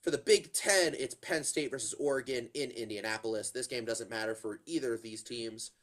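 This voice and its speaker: A man's voice: mid-range voice, fairly quick, fairly bright tone. A man with a stuffy, congested voice, co-hosting a laid-back sports podcast. He rambles through his picks with lots of ums and restarts, in an everyday mid-range voice. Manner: rambling, many fillers and restarts. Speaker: podcast co-host. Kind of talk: casual sports chat.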